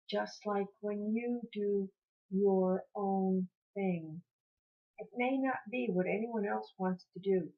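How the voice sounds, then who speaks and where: Slow-paced woman guiding a meditation. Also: medium pitch. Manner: soothing, encouraging, repeats phrases gently. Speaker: meditation teacher. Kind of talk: guided meditation. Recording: muffled, dull lo-fi sound.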